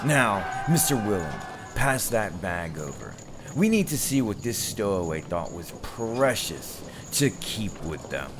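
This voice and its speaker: A deep-voiced, ruthless pirate captain, acted by a man in an audio roleplay. He speaks slowly and theatrically, with big swings in pitch, mock-gracious and menacing as he taunts his captive. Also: very animated, music in the background. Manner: theatrical, taunting, drawn-out delivery. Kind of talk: scripted ASMR roleplay acting.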